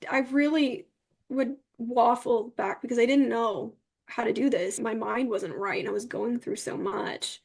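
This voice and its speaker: A woman's voice: fairly high pitch, gravelly, darkish tone. A young adult woman speaking candidly and conversationally as an interview guest.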